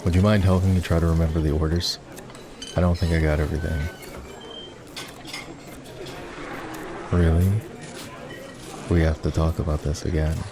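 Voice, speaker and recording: A man playing an ex-boyfriend turned boss in an intimate roleplay ASMR, talking in a halting, conversational way. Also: rumbling low, little pitch movement, noisy background. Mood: casual, teasing. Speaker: voice actor.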